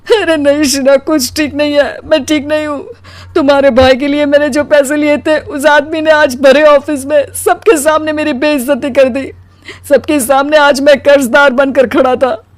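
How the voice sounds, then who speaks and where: A woman voicing a weary, put-upon, soft-hearted husband in an animated story, with a plaintive manner. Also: high-pitched, normal speed, no echo, voice pushed up, close to an everyday voice. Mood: anguished.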